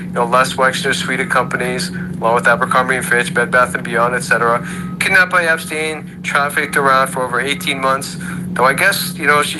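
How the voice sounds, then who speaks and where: A man's voice: medium pitch, background noise. A man hosting an online audio room, delivering a sarcastic monologue full of rhetorical questions. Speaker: host of an audio chat room. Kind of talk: ranting monologue.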